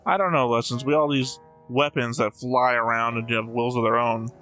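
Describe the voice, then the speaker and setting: A man's voice: medium pitch, steady tone, normal speed, sharp tone, faint music behind. A man commenting casually on a show as a reaction host, in a wry, joking way.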